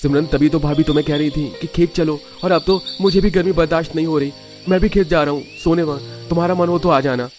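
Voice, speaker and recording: A man's voice: a little high, fairly even intonation, fast, darkish tone, faint music behind, voice pushed up, natural voice. A man voicing an exasperated young husband in a cartoon story, chiding his wife. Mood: fed up.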